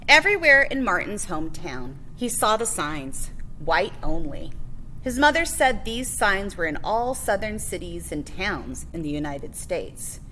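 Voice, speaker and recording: A woman's voice: medium pitch, big pitch swings, a little slow, pushed and bright, slight background noise, rough recording. A woman reading a children's picture book biography aloud as a librarian, phrase by phrase with emphasis on key words.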